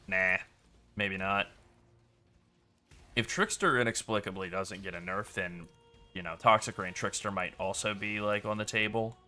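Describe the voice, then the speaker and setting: A man's voice: lowish voice, smooth. A man streaming a video game, commenting casually as he plays, with a rambling delivery full of "like.". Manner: relaxed, rambling, many "like" fillers.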